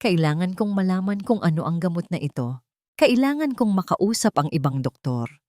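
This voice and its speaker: A woman's voice: fairly low pitch, expressive, moderate pace, a little mellow, no room sound, studio quality, close to an everyday voice. Woman voicing an indignant, determined wealthy mother. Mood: shaken anger.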